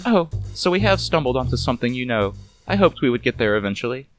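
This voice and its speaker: A man's voice: medium pitch, very animated, fast talker, soft background music, pitched above the performer's own voice, lightly acted. A man voicing a glamorous, sardonic movie-star woman with an arch, condescending manner in a noir audio story. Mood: scornful, cool.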